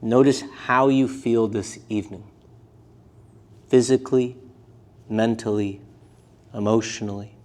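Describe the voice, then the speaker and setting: A man teaching a live yoga and meditation class, speaking gently in short, unhurried phrases with pauses between them. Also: fairly low pitch, steady tone. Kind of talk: guided meditation class instruction.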